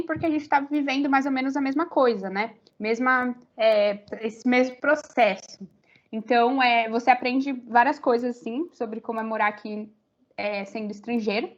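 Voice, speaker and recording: Young Brazilian woman with a high, slightly muffled voice. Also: fairly even intonation, moderate pace. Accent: Brazilian Portuguese. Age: young adult. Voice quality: many fillers, rambling restarts. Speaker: university student guest. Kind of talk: casual interview chat.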